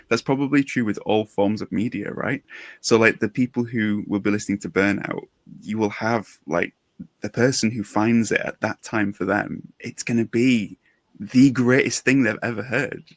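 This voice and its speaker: A man hosting a podcast in a relaxed, reflective and talkative way. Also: on the low side, creaky, no room sound. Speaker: podcast host. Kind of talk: casual podcast conversation.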